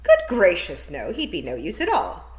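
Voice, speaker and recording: A woman reading as a sharp dry witch. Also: fairly low pitch, huge pitch swings, normal speed. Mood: dismissive. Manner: crisp, dry and sardonic. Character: brisk sharp-tongued adult witch woman. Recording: roomy echo.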